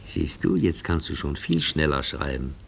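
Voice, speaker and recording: A man's voice: very deep voice, steady tone, moderate pace, creaky, a little hiss or hum. A man playing a patient, kindly teacher in a radio play, explaining things simply and carefully as if to a child. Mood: patient, instructing. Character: patient, kindly male teacher, gently instructing his pupil. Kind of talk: German radio play acting.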